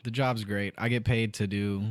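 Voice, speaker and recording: A man's voice: fairly low pitch, steady tone, moderate pace. A man in his twenties chatting on a podcast, telling rambling stories about his job. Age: 20s. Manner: laid-back, rambling storyteller, restarts often. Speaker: guest. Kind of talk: casual podcast chat.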